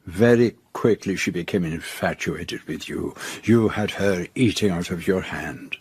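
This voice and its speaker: A confident detective in a radio drama, his voice low and husky. He speaks with formal, measured assurance, setting out his case step by step. The sound is close and dry. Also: darkish tone, dry recording, exaggerated voice. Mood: accusing. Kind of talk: radio drama acting, detective's denouement monologue.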